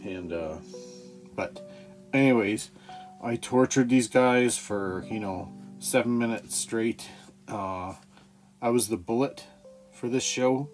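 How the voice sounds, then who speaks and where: A man chatting casually in a halting, rambling, profane way. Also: mid-range voice. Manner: halting, profane, frequent throat-clearing. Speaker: amateur stand-up comedian. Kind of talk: rambling casual vlog chat. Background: guitar music.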